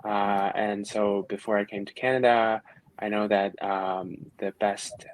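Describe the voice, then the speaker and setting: A man's voice: on the low side, level tone, a little slow, low-quality recording. A young man from China answering panel questions, hesitant with many fillers. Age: young adult. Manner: hesitant, many ums and uhs. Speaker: university student panelist. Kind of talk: panel answers on video call. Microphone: video call.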